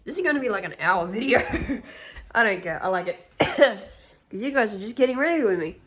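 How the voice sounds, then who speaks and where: A woman's voice: mid-range voice, animated, brisk, far from the mic. A woman with a nasal voice chatting casually through a get-ready-with-me vlog, rambling with lots of fillers and self-corrections as she moves around her home. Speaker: vlogger. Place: home kitchen and rooms, indoors. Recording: echoey room, voice off-mic, household noise.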